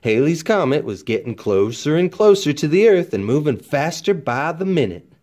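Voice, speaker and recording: A man's voice: a little high, sing-song, moderate pace, a little mellow. A man narrating a children's storybook expressively, with folksy, dramatic emphasis like a teacher reading aloud.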